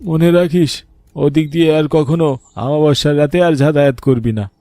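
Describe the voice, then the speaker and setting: A man's voice: on the high side, fairly even intonation, moderate pace, mellow, dry recording, studio quality, natural voice. A man voicing a protective older uncle in a cartoon story, with a grave, admonishing manner. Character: concerned older uncle, stern yet protective.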